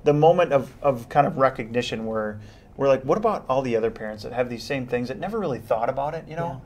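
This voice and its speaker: A young man, a police officer guest on a local TV talk show, talking in an animated, casual way in a studio on a lapel mic. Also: medium pitch, fast talker. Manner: animated, gestures, repeats words. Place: TV studio, indoors.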